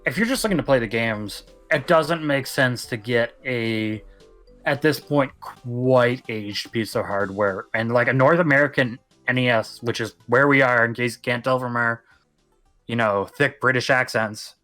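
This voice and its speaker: A man's voice: mid-range voice, moderate pace, bright, forceful tone. Young man, podcast co-host, casual chat, rambling, emphatic, faint music behind. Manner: rambling, emphatic, talks at length.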